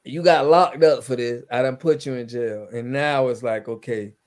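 A man's voice: mid-range voice, steady tone, normal speed, darkish tone. A man in his thirties co-hosting a commentary show, talking informally and checking for agreement, on a headset from a room at home. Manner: conversational, checks for agreement with "right" and "you get what I mean". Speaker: co-host of a news commentary show. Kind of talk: casual unscripted chat. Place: home room on a video call.

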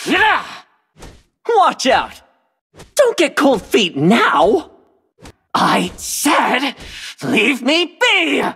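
An actor playing a cocky, restless immortal monkey king in a video game, with a husky voice and a brash, taunting, theatrical delivery. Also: very high-pitched, a bit bright, exaggerated voice. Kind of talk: video game hero voice lines.

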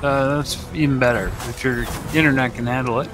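A man's voice: medium pitch, fairly even intonation, fairly quick, noisy background. A man chatting casually on a game stream, telling rambling stories with lots of fillers. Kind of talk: casual chat. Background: game music.

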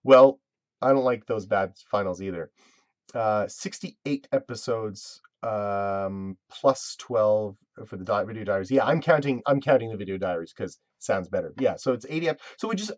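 Man, 30s, casual live-stream host, rambly with many fillers and restarts, dry close webcam sound, mid pitch. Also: no room sound. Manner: rambling, self-interrupting, full of fillers. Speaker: web series creator hosting a live Q&A.

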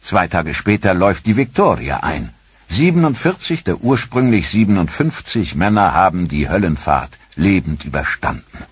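A man reading a scripted history documentary narration in a measured, grave storytelling manner. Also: low-pitched, very animated, slightly rough, dry recording.

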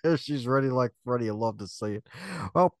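A man's voice: mid-range voice, fairly quick. A man in his thirties hosting a podcast, joking and chuckling through folksy catchphrases. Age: 30s. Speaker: podcast host. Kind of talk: casual interview chat.

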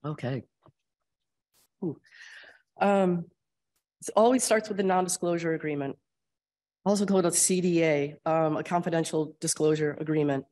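A woman with an Upper Midwest American accent, getting over a cold and losing her voice, giving a conversational grand rounds lecture and often clearing her throat. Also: deep voice, normal speed, long pauses, dark tone. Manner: explanatory, conversational, frequent ums and throat clearing. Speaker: clinical research administrator presenting at grand rounds. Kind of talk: conference lecture with slides.

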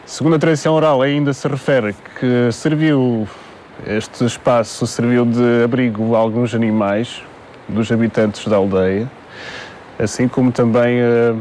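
A man guides listeners through the history of a stone monastery. He speaks at an even, mid pitch and hesitates often, and faint outdoor noise sits behind him. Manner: measured, with frequent hesitations. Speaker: local guide. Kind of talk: explaining local history on camera.

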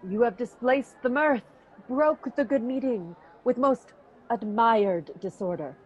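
A woman's voice: fairly high pitch, measured pace, breathy edge, highs cut off. A woman playing Lady Macbeth, a cool, commanding queen, in a radio drama with a firm, clipped delivery. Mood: urgent.

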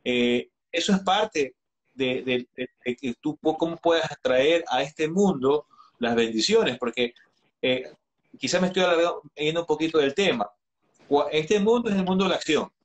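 A man's voice: a little high, moderate pace. A man in his thirties talking earnestly as a guest in an online interview, advising listeners with frequent restarts and hesitations, heard through a video call. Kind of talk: earnest live-stream conversation. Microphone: video call webcam.

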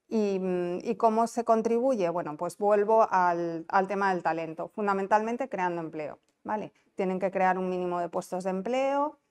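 Female presenter, non-Canarian Spanish accent, smooth clear voice, explanatory and conversational, informal business talk on a lapel mic. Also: mid-range voice. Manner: explanatory, conversational, checks understanding with tag questions. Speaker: conference presenter, business incentive adviser. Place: small indoor conference room.

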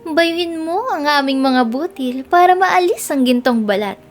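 A Filipino-accented woman voicing cheerful, gentle field grasses that instruct in a melodious, earnest storybook manner. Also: sits high, moderate pace, soft background music, pitched above the performer's own voice, close to an everyday voice. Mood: cheerful, guiding.

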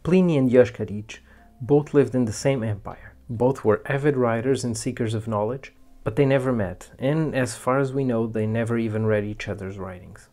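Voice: mid-range voice, moderate pace, dark tone. A presenter delivers scripted educational narration in a middle-range voice. Music plays behind the words.

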